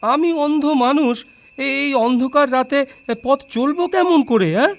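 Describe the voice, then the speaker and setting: High-voiced, plaintive blind young man. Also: slight background noise. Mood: defiant, plaintive. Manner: plaintive, pleading, halting.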